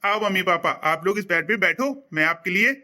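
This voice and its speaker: A man's voice: high voice, brisk, sharp tone. A young man voicing a devoted son in a cartoon, eager and reassuring. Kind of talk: cartoon voice acting.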